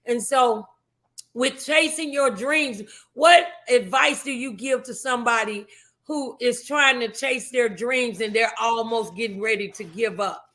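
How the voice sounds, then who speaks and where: Bright-voiced woman hosting an inspirational talk show. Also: on the high side, unhurried. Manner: encouraging, affirming, preachy. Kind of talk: warm faith-based interview.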